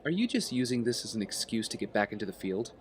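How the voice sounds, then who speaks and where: A man's voice: fairly low pitch, big pitch swings, quick pace. A man playing an earnest young vigilante heir with a double life, sincere and reflective, in an audio drama.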